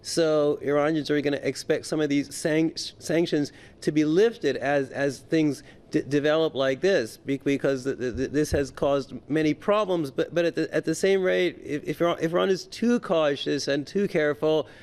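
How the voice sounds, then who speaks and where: A man's voice: on the high side, moderate pace, few pauses, a little mellow, smooth. A man giving unscripted political commentary as an interview guest, stammering and often repeating his words as he goes.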